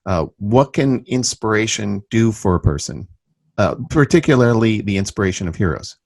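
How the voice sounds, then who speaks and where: Male interviewer, deep register, formal and deliberate with halting phrasing, very dry recording. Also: lively intonation, creaky, darkish tone, no room sound. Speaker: podcast host. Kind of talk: measured interview talk.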